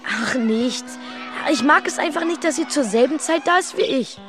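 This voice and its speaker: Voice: high voice, moderate pace, close to an everyday voice. A performer playing a stubborn, homesick eight-year-old boy in a radio drama, sullen and terse. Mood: sulky. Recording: music behind.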